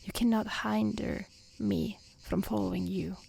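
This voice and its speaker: A woman voicing a brave, selfless young daughter, gentle but firm, in a soft and earnest storybook reading. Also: mid-range voice, steady tone, a little slow, vocal fry, husky, exaggerated voice, low-quality recording. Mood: calm resolve.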